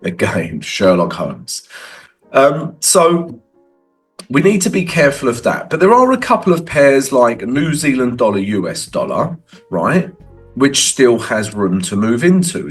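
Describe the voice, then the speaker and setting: A low-voiced man, stuffy with a cold, talks through market charts in a loose, chatty way. His pitch swings up and down a lot, and faint music plays under his voice. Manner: conversational, frequent "right?" tags. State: has a cold. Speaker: forex trading educator. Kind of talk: casual chart walkthrough.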